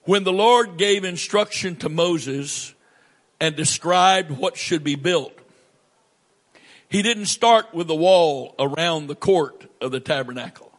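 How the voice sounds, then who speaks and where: Man, pastor, high voice, slow deliberate sermon, emphatic pauses, church setting. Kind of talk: sermon with scripture reading.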